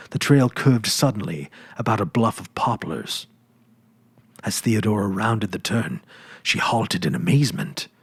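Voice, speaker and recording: Male narrator, low and husky, measured dramatic delivery, dry close-miked sound. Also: dry recording. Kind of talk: dramatic storybook reading.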